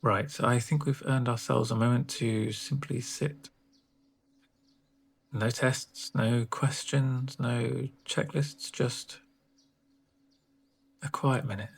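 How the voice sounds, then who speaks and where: A soft-spoken man plays a caring doctor in an ASMR roleplay. His voice is husky and creaky, sitting at a middle pitch. He speaks gently and reassuringly, leaving unhurried pauses between phrases. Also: steady tone. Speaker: ASMR performer playing a home-visit doctor.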